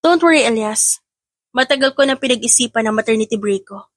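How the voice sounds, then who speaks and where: A woman's voice: on the high side, brisk, no room sound, studio quality, close to an everyday voice. A woman with a Filipino accent voicing a proud, guarded businesswoman in an audiobook romance, firm and direct. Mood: calm, reassuring.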